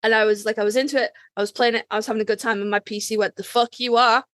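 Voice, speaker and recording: A woman hosting a casual podcast chat in a playful, animated storytelling manner. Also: on the high side, racing, dry recording, clean studio sound. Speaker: podcast host.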